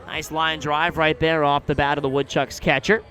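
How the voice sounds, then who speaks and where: A man's voice: a little high, faint music behind. An American man calling baseball play-by-play for radio, describing each moment in detail in a live outdoor broadcast. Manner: measured description, excited on big plays. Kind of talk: live sports commentary. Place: outdoor ballpark broadcast position.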